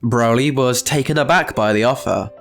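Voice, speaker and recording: Male narrator, medium register, scripted dramatic storytelling, soft music bed. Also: medium pitch, normal speed, creaky.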